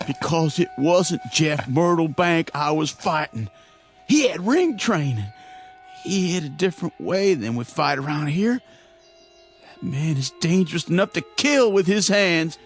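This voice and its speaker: An actor playing a sore, whiny young bully with a rural American accent in a radio drama. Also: male voice, a little high, expressive, unhurried, soft background music. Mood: aggrieved. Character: sore, whiny young country bully nursing wounded pride.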